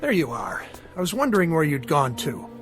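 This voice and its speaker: An actor playing a polite, flattering gentleman caller with a formal, hesitant manner in a vintage television drama. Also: a little high, a little fast, soft background music. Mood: polite, apologetic. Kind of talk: 1960s television drama acting.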